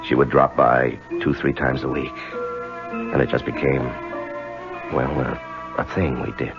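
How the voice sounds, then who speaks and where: An actor playing an earnest, evasive young married attorney, hesitant and confiding, in a 1970s radio drama. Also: male voice, extremely low pitch, little pitch movement, measured pace, creaky, music in the background, full character voice. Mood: confiding, troubled. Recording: dull lo-fi 1970s radio recording.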